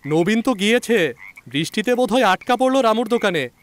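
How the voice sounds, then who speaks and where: A man's voice: high voice, expressive, fairly quick, voice pushed up. A man voicing a cocky young doctor in an audio story, a sceptic who talks in a mocking, needling, chatty way. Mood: teasing, eager. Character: cocky sceptical young male doctor, teasing and polite on the surface. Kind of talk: audio story character acting.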